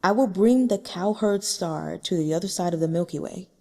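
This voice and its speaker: A woman reading the lines of a cold, aloof male immortal cultivator in a storybook, in a calm, firm tone. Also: on the low side, normal speed, close to an everyday voice.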